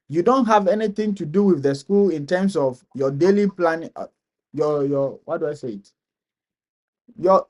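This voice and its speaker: High-voiced man hosting a live Q&A. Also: darkish tone. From Ghana. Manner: explains slowly, repeats key points. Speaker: live stream host. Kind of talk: live Q&A advice.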